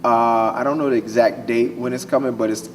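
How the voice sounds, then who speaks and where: A man, an actor answering casually in an interview, halting and restarting phrases. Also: mid-range voice, fairly even intonation. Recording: distant mic, faint noise.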